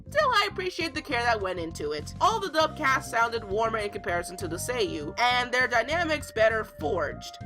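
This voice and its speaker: A woman's voice: fairly high pitch, sharp tone. A woman narrating a scripted anime review in an opinionated, playful way.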